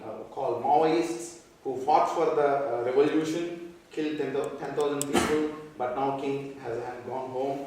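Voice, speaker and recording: A man from Nepal giving a conference talk on social work, halting and full of fillers and repeated words. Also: medium pitch, darkish tone. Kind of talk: conference presentation. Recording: echoey hall, speaker far from mic.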